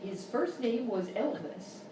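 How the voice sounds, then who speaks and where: A woman's voice: fairly high pitch, animated, slow pace. A woman playing an exasperated, condescending quiz show host in a live radio-style comedy sketch. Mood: prodding. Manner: incredulous, scolding, mock-polite. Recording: very echoey live room, far mic, noisy.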